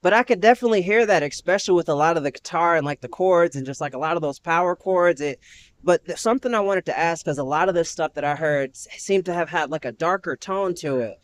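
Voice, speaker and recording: A man's voice: fairly high pitch, fast talker. Enthusiastic young man hosting an interview. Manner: enthusiastic, affirming with frequent "heck yeah". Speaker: podcast host. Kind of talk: casual interview.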